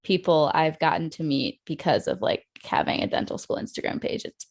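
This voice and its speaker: A woman's voice: deep voice, big pitch swings, fairly quick, creaky. A woman hosting a podcast, chatting in a friendly, upbeat way with frequent laughs. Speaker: podcast host. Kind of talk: casual interview chat.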